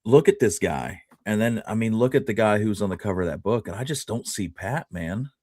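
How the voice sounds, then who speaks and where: Low-voiced casual male host, dry sound. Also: lively intonation, a little fast, darkish tone, no echo. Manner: informal, buddy-like, peppered with "man" and "dude". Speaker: host steering the discussion. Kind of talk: casual chat about Old West history.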